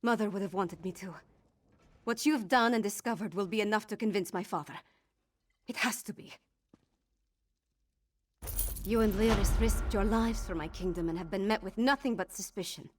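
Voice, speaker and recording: A woman's voice: medium pitch, a little fast, a little hiss or hum, natural voice. A woman voicing a headstrong young princess in a fantasy video game, forthright and emphatic in her delivery. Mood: grave, resolute. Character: headstrong young princess, grieving and righteously indignant. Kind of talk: video game dialogue acting.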